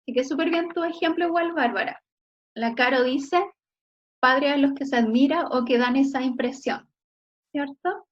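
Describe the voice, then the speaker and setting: Chilean woman, teacher, fairly high voice, muffled sound, keeps checking in with students. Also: normal speed. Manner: frequent checking "¿ya?" tags, encouraging. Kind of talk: online class lecture.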